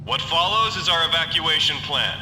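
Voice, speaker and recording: A man gives a formal, measured emergency announcement in an audio drama. His very bright, forceful voice echoes through a distant loudspeaker, with a faint rumble underneath. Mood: formal, grave. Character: formal official making a site-wide emergency announcement. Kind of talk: scripted public-address announcement.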